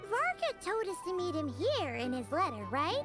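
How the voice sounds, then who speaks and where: Casting: tiny chatty game fairy, very high squeaky child voice, lively swings, over music. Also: female voice, moderate pace. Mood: curious, chatty. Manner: refers to self in third person, exclamatory. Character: small childlike fairy companion, excitable and chatty. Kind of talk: video game voice acting. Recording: music behind the voice.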